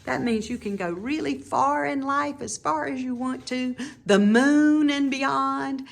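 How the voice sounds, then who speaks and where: A woman reading a children's picture book aloud in an expressive, teacherly way, with informal explanatory asides to young listeners. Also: sits high, unhurried, creaky.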